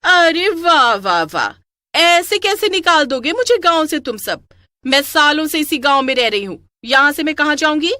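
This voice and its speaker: A woman's voice: sits high, sing-song, normal speed, pushed and bright, dry recording, clean studio sound, pitched above the performer's own voice, exaggerated voice. A woman voicing a quarrelsome, spiteful village woman in a melodramatic story, defiant and sarcastic. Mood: indignant, sarcastic.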